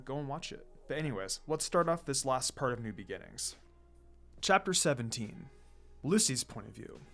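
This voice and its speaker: A man's voice: mid-range voice, a bit bright. A man hosting and reading fan fiction aloud in a casual but dramatic amateur storytelling style. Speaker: fan fiction reader and channel host. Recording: music behind the voice. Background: music.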